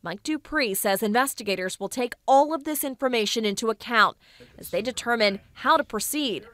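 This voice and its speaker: A woman in her thirties narrating an investigative local news report in a measured, clear delivery. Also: on the high side, fairly bright tone.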